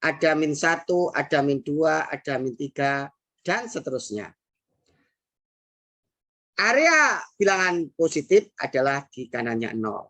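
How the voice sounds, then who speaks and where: Woman, Indonesian accent, math teacher, slow, expressive pitch, repeats herself, hums while thinking. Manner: patient, repeats key phrases, frequent "nah" and "hmm" while working problems. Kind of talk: recorded classroom math lesson.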